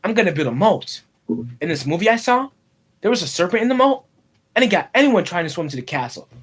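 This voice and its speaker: A man voicing an imaginative, eager twelve-year-old boy, talking in an excitable, earnest, chatty way. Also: high-pitched, fairly quick, slightly rough, exaggerated voice.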